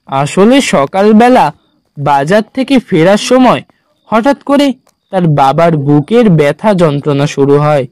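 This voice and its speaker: A man narrating a scripted horror story in a measured, dramatic storytelling manner. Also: on the high side, animated, darkish tone, no echo.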